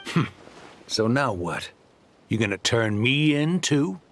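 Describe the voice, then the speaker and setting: Slick greedy crime boss for a game, smug n taunting, really animated pitch, loud music behind. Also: male voice, takes it slowly. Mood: cocky. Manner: glib, taunting. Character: smug, mercenary adult male crime boss from the desert. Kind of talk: video game voice acting.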